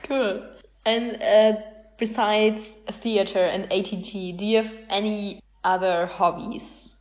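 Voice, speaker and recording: A woman's voice: medium pitch, steady tone, slow pace, darkish tone, dull audio. A young woman hosting a podcast, interviewing in a casual, agreeable and encouraging way. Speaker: podcast host.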